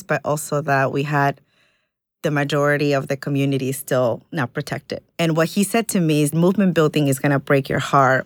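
A woman from Ecuador, a community organizer, speaking earnestly and thoughtfully in an interview, pausing with fillers. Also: low-pitched, dry recording, clean studio sound. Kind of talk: reflective interview conversation.

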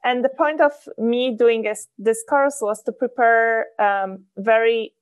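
An enthusiastic woman co-hosts a chatty podcast, and her voice sits a little high. Her English has some loose, non-native phrasing. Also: unhurried, clean tone. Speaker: podcast co-host. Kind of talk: casual podcast chat.

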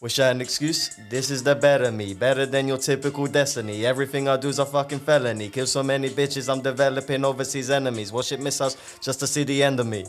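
A man's voice: medium pitch, fairly even intonation, quick pace, hardly any pauses, faint music behind. A man with a British accent bantering casually on a podcast, self-mocking and eager to perform, sometimes rapping. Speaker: podcast host.

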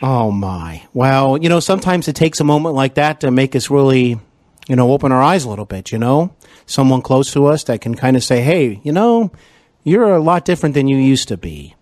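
A man's voice: medium pitch, lively intonation, a little fast, darkish tone, no room sound, clean studio sound. An American man hosting a conversational interview in a courteous, effusive and wordy manner. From Cincinnati, Ohio, United States. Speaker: podcast host and interviewer.

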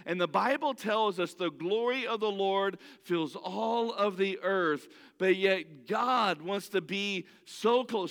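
Man, high clear voice, earnest preacher, restarts sentences, long hall reverb. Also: a bit bright. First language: not English. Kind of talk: sermon.

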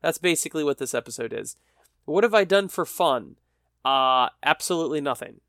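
A man's voice: on the high side, lively intonation, a little fast, fairly bright tone, no echo, studio quality. A man hosting his own podcast, tired and stuffy with a cold, rambling with self-mocking humor. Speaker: podcast host. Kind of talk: solo podcast chat. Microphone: podcast microphone.

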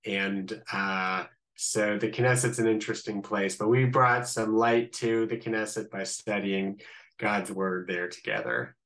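A man's voice: lowish voice, unhurried, fairly bright tone. A man from Ohio with a Midwestern American accent, a rabbi explaining things conversationally as an interview guest. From Columbus, Ohio, United States.

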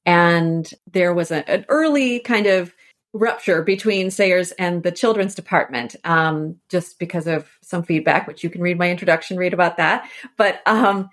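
Low-voiced woman explaining as a guest. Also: normal speed. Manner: explanatory, informal, meandering phrasing. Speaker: scholar and author, podcast guest. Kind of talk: conversational expert interview.

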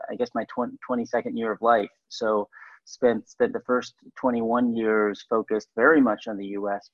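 A man's voice: fairly low pitch, no room sound. A man from Western New York in his thirties, a guest talking over a call, thoughtful and hesitant with frequent ums and restarts. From Western New York, USA. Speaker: guest, startup CEO. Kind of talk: relaxed interview answers. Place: remote call from home or office. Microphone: call audio. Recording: muffled lo-fi call audio.

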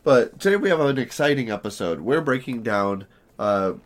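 Hoarse raspy man hosting a podcast. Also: expressive, moderate pace. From Southern California. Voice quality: naturally deep voice, raspy today. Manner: rambling, self-deprecating banter. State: hoarse from allergies. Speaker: podcast co-host. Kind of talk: casual podcast chat.

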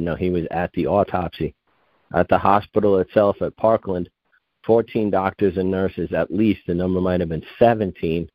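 Interview guest, male, 50s; deep voice, muffled dry recording; digressive, restarts his sentences often. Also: no room sound. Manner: meandering, self-correcting, digressive. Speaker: guest researcher. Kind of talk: rambling conversational interview.

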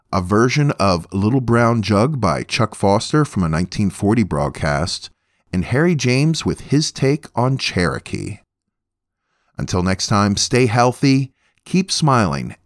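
Guy doing podcast host narration, kinda low voice, really up and down, super dry recording. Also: no echo.

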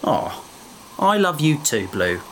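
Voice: medium pitch, sing-song, slow pace, a bit bright, slight background noise, heavily acted. An actor playing a caring, practical space adventurer in a sci-fi audio drama, with an earnest, reasoning way of talking. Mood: soothing, tender.

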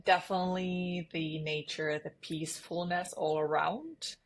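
A woman from eastern Finland with a Finnish accent speaks in a low-pitched voice. She answers conversationally, as a guest in an interview about her own experience. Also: fairly even intonation, unhurried. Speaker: interview guest, sales leader.

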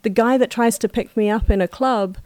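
A woman's voice: a little high, normal speed, darkish tone, no echo. A woman talking as a podcast guest in casual conversation, reflective and explaining her views at length. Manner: reflective, talks at length in long flowing explanations. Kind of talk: casual chat.

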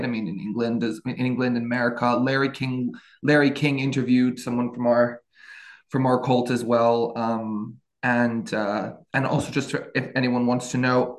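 Gravelly-voiced hesitant young man. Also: medium pitch. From France. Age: 20s. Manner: hesitant, many fillers and restarts. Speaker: podcast guest. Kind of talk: casual interview storytelling.

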